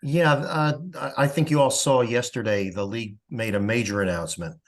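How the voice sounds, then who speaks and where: A man's voice: medium pitch, moderate pace. An American man talking informally in a meeting, hesitant and rambling as he goes. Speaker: organization representative in meeting. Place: online video or teleconference.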